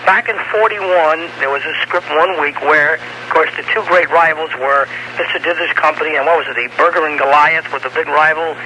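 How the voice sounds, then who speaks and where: Guy phoning into radio show, higher pitched, stop-and-start nostalgic chat, lots of tape noise. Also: steady tone, pushed and bright. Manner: hesitant, halting. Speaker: radio call-in caller. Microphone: telephone call to studio. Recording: noisy cassette of radio phone-in.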